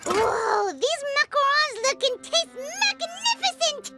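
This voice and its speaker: Tiny excitable game fairy, extremely high childlike voice, animated swoops, music underneath. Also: female voice, slow pace, full character voice. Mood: excited. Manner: exclaims, refers to self in third person. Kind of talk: animated video game voice acting.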